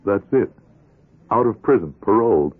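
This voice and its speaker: An actor playing a bitter, hopeless fifty-year-old convict in a radio drama, with terse, deadened delivery. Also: male voice, fairly low pitch, lively intonation, normal speed, husky. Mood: hesitant. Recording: muffled, tinny old radio broadcast.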